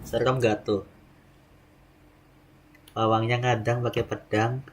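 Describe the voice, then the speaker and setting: Man, from Indonesia, casual gameplay commentary, little pitch movement, slight noise behind. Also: level tone, unhurried. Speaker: gaming content creator.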